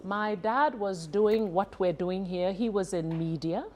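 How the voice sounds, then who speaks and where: A woman's voice: mid-range voice, a little slow, a crowd in the background. A middle-aged woman from East Africa, a guest telling her life story conversationally and explaining as she goes, often checking in with short tags. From East Africa (Uganda and Kenya). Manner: explanatory, adds checking tags like "huh?" and "yeah?". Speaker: talk show guest. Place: TV studio.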